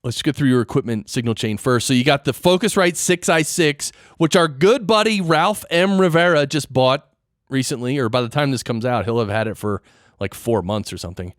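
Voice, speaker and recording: A man's voice: medium pitch, animated, a little fast, no room sound. A man hosting a podcast interview in casual conversation, explaining things informally and checking with questions, close on a studio microphone. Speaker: podcast host interviewing a guest. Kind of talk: casual interview chat about audio gear and production.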